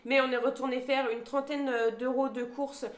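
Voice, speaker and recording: A woman's voice: sits high, little pitch movement, normal speed, roomy sound. A woman in her thirties chatting casually to a phone camera at home, listing her grocery haul item by item with many hesitation fillers. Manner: chatty, lots of hesitation fillers, lists items one by one.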